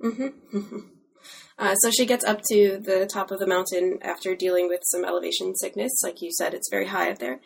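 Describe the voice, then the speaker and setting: A woman's voice: medium pitch, fairly even intonation, fairly quick, gravelly, husky. A woman interviewing an author, asking careful, thoughtful questions about his book.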